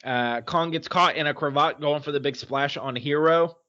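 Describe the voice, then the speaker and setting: Higher-pitched man chatting on a muffled podcast. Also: normal speed, pushed and bright. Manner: loose, rambling, many fillers. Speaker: podcast co-host. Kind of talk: casual podcast chat recapping a wrestling show.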